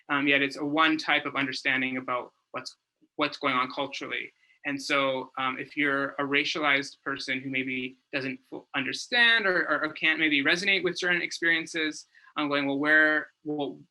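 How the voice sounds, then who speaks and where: A man speaking informally on an online panel, haltingly searching for words and repeating phrases as he goes. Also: on the high side, pushed and bright, rough recording. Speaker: panelist and community developer. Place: online video call.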